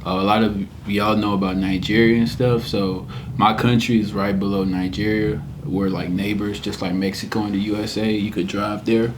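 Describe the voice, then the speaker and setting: A young man from Cameroon, talking in a fairly low voice as a guest on a casual podcast. He tells his story earnestly and reflectively, with a faint noise behind him. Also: flat delivery, moderate pace, few pauses. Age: 20s. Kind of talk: casual interview chat.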